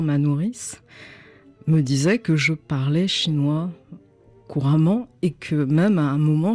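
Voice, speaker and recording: A woman's voice: low-pitched, a little mellow. Reflective woman novelist, radio interview. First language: Chinese. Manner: reflective, searching for words. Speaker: novelist guest. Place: radio studio.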